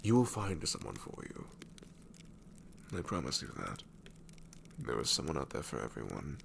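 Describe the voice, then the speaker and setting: A man's voice: huge pitch swings, moderate pace, a bit bright, slight background noise, exaggerated voice. A man playing an ancient, sassy shadow demon in an ASMR roleplay, wry and world-weary but tenderly reassuring, often slipping into a whisper. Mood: grudgingly sweet. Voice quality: very deep, gravelly, often breaking into whisper.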